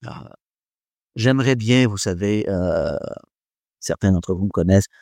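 A man's voice: deep voice, measured pace, darkish tone, no room sound, studio quality. A man gives a reflective talk on faith and philosophy to an audience, hesitating often and joking about himself. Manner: hesitant, many fillers, self-deprecating humour.

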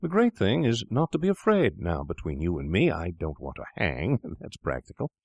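A man voicing a boastful young male doctor, drunk and swaggering, with a loud bragging manner. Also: sits low, animated, unhurried, gravelly, dry recording, lo-fi sound, heavily acted.

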